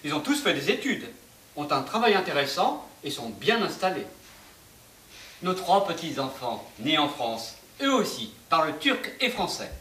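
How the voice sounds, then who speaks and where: Guy reciting on stage, high voice that swoops a lot, far from the mic, echoey. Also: very animated, measured pace, sharp tone. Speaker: stage performer. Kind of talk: theatrical recitation of testimonies. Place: theatre stage. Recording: echoey stage, distant mic, faint hiss.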